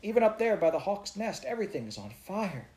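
A man reading aloud, voicing an eager, excitable little girl with a raised, wondering voice in a storybook. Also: normal speed, slight background noise, lightly acted. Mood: excited wonder. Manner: breathless, wondering, raised pitch.

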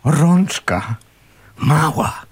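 An actor playing a shabby, cautious but kindly homeless man in a radio play, speaking hesitantly in a husky, breathy voice. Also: on the high side, lively intonation, exaggerated voice. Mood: uneasy. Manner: hesitant, halting. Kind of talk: naturalistic radio drama acting.

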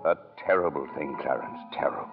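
An actor playing a solemn senior angel who recounts a mortal life with measured storytelling in a vintage radio drama. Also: male voice, rumbling low, gravelly, music in the background. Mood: grave. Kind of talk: 1940s radio drama narration. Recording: thin phone-like vintage radio recording.

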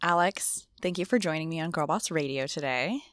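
Chatty low-voiced woman podcast host. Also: no echo, faint music behind. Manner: upbeat, chatty, quick asides. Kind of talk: casual interview chat.

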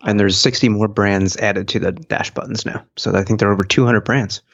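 A man's voice: on the low side, steady tone, a little fast, creaky, fairly bright tone. A man co-hosting a tech podcast in casual conversation, on a slightly dull, lo-fi track. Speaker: podcast co-host.

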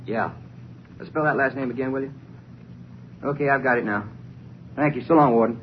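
Actor as a folksy Ranger sidekick, noisy old radio. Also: male voice, mid-range voice, normal speed, natural voice. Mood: businesslike, wry. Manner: folksy, conversational. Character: plain-spoken, folksy male Texas Ranger sidekick. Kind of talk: 1950s radio drama acting. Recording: muffled, noisy old-time radio recording.

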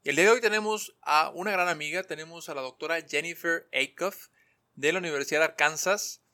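A man's voice: on the high side, a little fast, bright, forceful tone. A man hosting a podcast interview in a formal, courteous manner. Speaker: podcast host.

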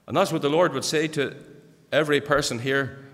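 A man's voice: on the high side, fairly even intonation, fairly quick, gravelly, fairly bright tone. An older man with a Northern Irish accent preaching an earnest sermon in a church, rising to emphatic exhortation. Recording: church hall reverb.

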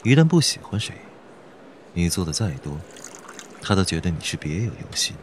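A man acting a cynical, guarded heir in his twenties in an audio drama, cool, sardonic and deliberate. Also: low voice, very animated, noisy background. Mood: cool, bitter. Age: 20s. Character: cynical, guarded young heir, coolly scheming. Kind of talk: Chinese audio drama acting with inner monologue.

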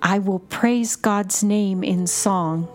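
A woman with a mid-range voice reads as a prayer guide, very unhurried and deliberate. Her phrases come short and measured, in a contemplative devotional style. Music plays beneath her voice. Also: medium pitch, a little mellow. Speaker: prayer guide and podcast host. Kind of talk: slow, repetitive guided contemplative prayer reading. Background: music under the voice.

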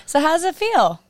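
A woman's voice: high-pitched, dry recording. A woman in her twenties co-hosting a podcast, teasing and playful in casual chat. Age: 20s. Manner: teasing, playful, laughs easily. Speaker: podcast co-host.

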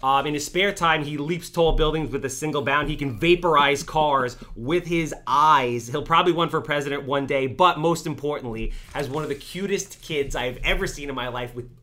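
Joking bright-voiced man hosting a podcast. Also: on the high side, moderate pace, few pauses. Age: 30s. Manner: joking, hyped intro reading. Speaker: podcast host. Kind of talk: casual podcast chat.

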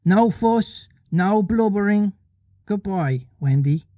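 Storybook boy hero, played by a man, cocky, commanding, brusque, slightly high and dry-sounding. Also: animated, dark tone, no room sound. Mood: brisk, commanding. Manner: brisk, commanding. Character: proud, cocky boy leader, dark and brusque. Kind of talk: storybook reading aloud.

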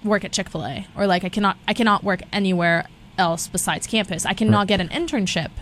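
A woman's voice: mid-range voice, fast talker, fairly bright tone, a little hiss or hum. A young woman from Brazil with a Southern Californian accent, a guest chatting casually with lots of fillers and restarts. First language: Portuguese and Spanish. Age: young adult. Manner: rambling, many restarts and fillers. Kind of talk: casual interview chat.

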